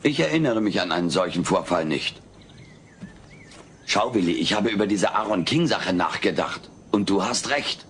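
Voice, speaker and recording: Wisecracking, scheming alien, sitcom audio drama; lowish, animated, mock-innocent. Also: male voice, moderate pace. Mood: glib. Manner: glib, theatrical, mock-innocent. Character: wisecracking, scheming furry alien houseguest, brash and cocky. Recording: noisy old cassette audio drama.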